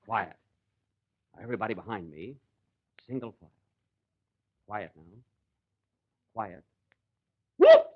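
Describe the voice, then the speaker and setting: A man's voice: on the low side, huge pitch swings, slow pace, long pauses, narrow, tinny audio, exaggerated voice. A man playing a fussy, exasperated Ranger major in a 1940s radio comedy, mock-commanding and put-upon. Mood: hushed bossy. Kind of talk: 1940s radio comedy acting before live audience. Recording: 1940 live radio broadcast.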